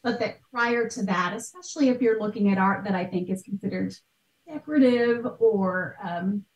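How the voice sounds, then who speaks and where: A woman's voice: medium pitch, moderate pace. American woman explaining, in a roomy space. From United States. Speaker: podcast guest, art history expert. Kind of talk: conversational explaining in an interview. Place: small bright room at home. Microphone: video call. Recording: roomy video-call sound.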